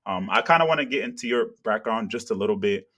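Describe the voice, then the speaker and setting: A man's voice: lowish voice, expressive, fast talker, pushed and bright. A man from Africa hosting an interview, asking questions earnestly with many "you know" and "kinda". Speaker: interviewer, channel host.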